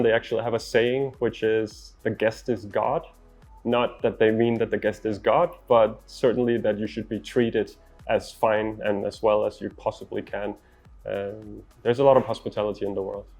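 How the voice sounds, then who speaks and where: A middle-aged man from Denmark with a Danish accent, giving interview answers as a traveller in a measured, articulate, earnest way. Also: mid-range voice, a little mellow.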